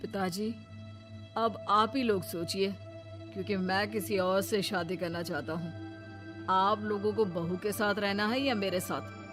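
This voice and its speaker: Woman voicing an impatient, slow-talking selfish son. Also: mid-range voice, steady tone, music in the background, natural voice. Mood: defiant. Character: impatient, selfish adult son, defiant with his parents.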